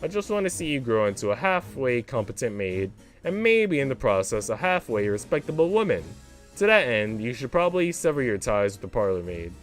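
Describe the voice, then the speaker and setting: A man's voice: medium pitch, sing-song, a little fast, soft background music, lightly acted. A man voicing a haughty, condescending English maid, a prim woman with a cruel streak, in a visual novel. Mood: smugly disdainful.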